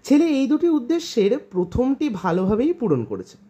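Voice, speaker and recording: Expressive woman reading a short story. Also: fairly high pitch, brisk. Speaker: story reader.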